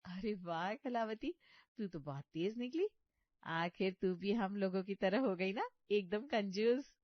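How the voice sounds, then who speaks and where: A woman acts out a thrifty, self-satisfied daughter-in-law from a comic cartoon story. She is animated and eager to please. The recording is very dry and slightly dull. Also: medium pitch, a little slow, no echo, lightly acted. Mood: delighted.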